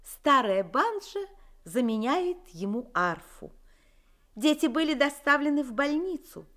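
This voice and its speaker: A formal radio news announcer reads a bulletin. Her voice is high with lively pitch. The delivery is measured and official. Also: exaggerated voice.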